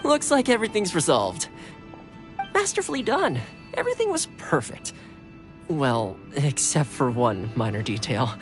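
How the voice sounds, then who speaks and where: An actress playing a sly, admiring retainer to a lord in a game, speaking with smooth, teasing deference. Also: female voice, deep voice, sing-song, a little slow, over music. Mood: teasing, wry. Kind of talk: scripted game dialogue.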